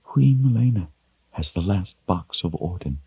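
A man's voice: fairly low pitch, slow pace, breathy edge, no room sound, muffled recording, pitched above the performer's own voice. A man voicing a commanding sorceress, grave and full of sorrow, in a fantasy audiobook. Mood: sad, hushed.

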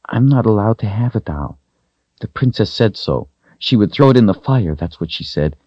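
An earnest, timid little servant girl from a storybook, acted out by a man, pitched up from his deep natural voice. The phrasing is simple and childlike, with a husky edge and a dry sound. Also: expressive, normal speed, dry recording, muffled recording. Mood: upset, forlorn.

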